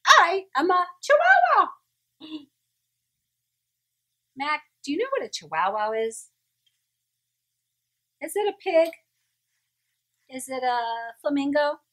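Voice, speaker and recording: A woman with a high, hugely varied voice reads a picture book to small children. She takes her time, plays things up, and stops to ask her listeners questions. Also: very animated. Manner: playful, exaggerated, pausing to ask listeners questions. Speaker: children's storyteller. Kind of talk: animated read-aloud of a picture book to young children.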